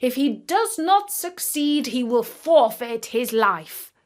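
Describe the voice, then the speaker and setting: Female storyteller as male town crier, declamatory, grave, loud, drawn-out, storybook. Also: sits high, very animated, heavily acted. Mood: solemn proclaiming. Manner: declamatory, formal. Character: royal herald, grave man crying a proclamation aloud. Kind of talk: children's storybook character voice.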